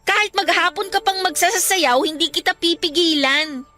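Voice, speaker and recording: A woman's voice: very high-pitched, moderate pace, bright, forceful tone. A woman playing an earnest, studious young best friend, admonishing in a radio drama. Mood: hurt, admonishing. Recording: music bed under the voice.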